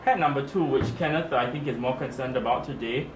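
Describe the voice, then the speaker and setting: A man of ordinary pitch explains his role on the team, pausing on fillers as he goes. The room rings with echo, and steady noise sits under his voice. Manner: hesitant, with many "uh" fillers. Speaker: team member in charge of the design of digital objects.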